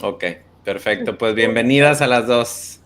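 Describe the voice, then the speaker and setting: A man's voice: medium pitch, unhurried, a bit bright. Man teacher calling roll online. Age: 30s. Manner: friendly, brief acknowledgments. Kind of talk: online class roll call. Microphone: video call.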